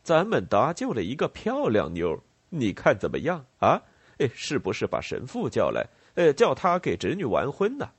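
Man acting a gruff, swaggering rebel chieftain. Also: medium pitch, huge pitch swings, unhurried, no echo, full character voice. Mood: jovial. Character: commanding rebel leader posing as emperor, bluff and gruff.

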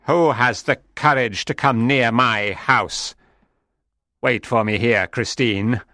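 A man's voice: mid-range voice, fairly even intonation, slow, sharp tone, no room sound, clean studio sound. A man voicing a menacing, lovesick madman in audiobook dialogue. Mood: angry. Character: menacing, lovesick madman, pleading then angry.

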